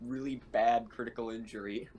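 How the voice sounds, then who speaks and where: A man's voice: medium pitch, steady tone, moderate pace, a crowd in the background. A man playing a tabletop game, casually advising teammates and joking. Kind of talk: casual banter at a game session.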